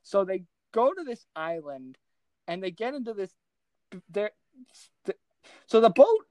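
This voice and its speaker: A woman co-hosting a podcast, recapping movies in a casual, rambling, excitable way and often interrupting herself. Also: mid-range voice, a little slow, dry recording. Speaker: podcast co-host.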